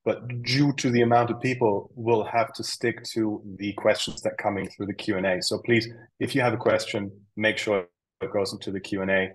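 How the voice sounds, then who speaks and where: Low-voiced man moderating a webinar. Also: a little fast, husky, low-quality recording. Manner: steady, reads out audience questions.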